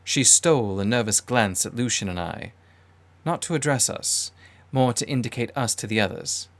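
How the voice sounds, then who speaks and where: A man reading dramatic first-person fiction in a deliberate, literary manner. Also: medium pitch. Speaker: audiobook narrator.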